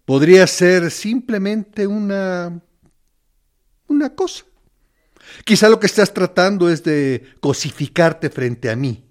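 A man acting a radio drama monologue as a menacing interrogator who feigns reason and kindness, insinuating and mock-courteous. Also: on the high side.

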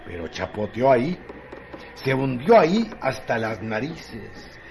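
Wry lowlife storyteller for radio drama, halting emphatic delivery, lively pitch, bit of noise. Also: male voice, vocal fry, exaggerated voice. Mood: wry, relishing. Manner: theatrical, halting, emphatic pauses. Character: wry gossipy male storyteller of brothel lowlife, relishing details. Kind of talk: radio drama narration, colloquial.

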